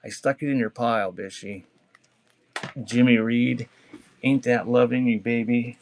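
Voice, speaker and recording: A man's voice: mid-range voice, fairly even intonation, measured pace. A man chatting casually as a live-stream auction seller, rambling and teasing as he reads out items for sale. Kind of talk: casual live selling chat, reading out cassette titles. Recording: clearly noisy background with clicks.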